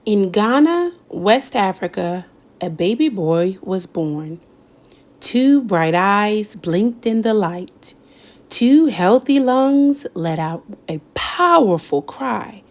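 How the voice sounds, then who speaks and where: A young woman reads a children's picture book aloud, taking her time and pausing for effect. Her voice rises and falls widely as she tells the story. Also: medium pitch. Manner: slow, lively storytelling with dramatic pauses. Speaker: read-aloud narrator.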